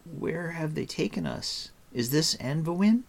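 A man's voice: fairly high pitch, expressive, a little slow, vocal fry, voice pushed up, exaggerated voice. A man voicing an impatient, anxious, wounded young male pig keeper in a storybook. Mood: alarmed, urgent.

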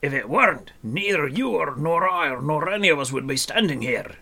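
A man reading a storybook as a gruff, angry dwarf who is snappish, sarcastic and scornful. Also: on the high side, lively intonation, brisk, pushed and bright, voice pushed up, heavily acted.

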